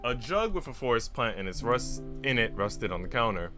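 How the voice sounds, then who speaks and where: A man's voice: mid-range voice, sing-song, moderate pace. A man streaming a visual novel, moving between reading the story aloud and casual, slangy, chatty asides. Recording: music behind the voice. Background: music.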